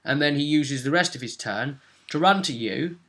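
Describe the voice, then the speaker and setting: Bright-voiced man explaining game rules. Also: on the high side, moderate pace. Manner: explanatory, thinking aloud with tag questions. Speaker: tabletop game master. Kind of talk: casual tabletop roleplay recap and rules chat.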